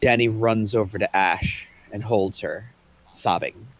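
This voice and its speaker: A man's voice: on the low side, measured pace, a bit bright, low-quality recording. A man reading the stage directions aloud in an online table read.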